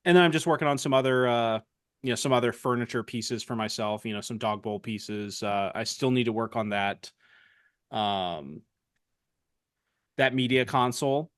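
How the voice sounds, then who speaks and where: A man's voice: mid-range voice, moderate pace, a bit bright, clear, resonant tone, no room sound, studio quality. A man co-hosting a woodworking podcast, chatting casually and joking dryly about his shop projects. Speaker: podcast co-host. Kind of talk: casual chat.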